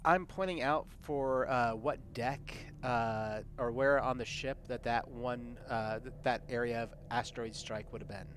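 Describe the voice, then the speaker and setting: A man's voice: medium pitch, a little slow, few pauses. A man playing a tabletop game, speaking casually and politely as he searches for his words. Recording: clearly noisy background.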